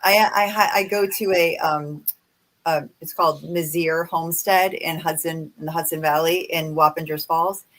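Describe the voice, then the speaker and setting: A woman's voice: low voice, fairly even intonation, normal speed, a bit bright. A woman whose first language is Dutch, co-hosting a paranormal podcast in a chatty, enthusiastic way. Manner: chatty, enthusiastic, agreeing often. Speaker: podcast co-host, paranormal investigator. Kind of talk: casual roundtable chat.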